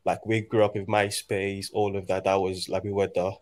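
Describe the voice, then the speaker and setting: Low-voiced reflective man in his twenties. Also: little pitch movement. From Nigeria. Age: 20s. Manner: reflective, halting, many restarts. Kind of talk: casual conversation.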